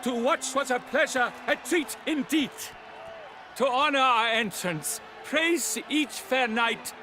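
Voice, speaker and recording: Grandiose fantasy-game herald, declaiming verse at a stately slow pace, music behind. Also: male voice, extremely high pitch, pushed and bright, exaggerated voice. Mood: grand, festive. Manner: declamatory, pompous. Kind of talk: rhymed proclamation.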